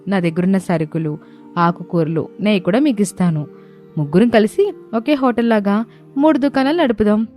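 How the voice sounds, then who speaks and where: A woman's voice: mid-range voice, moderate pace, mellow, soft background music, lightly acted. A woman voicing a kind, forgiving village elder sister-in-law, motherly and reassuring, in a moral story. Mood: kindly, reassuring.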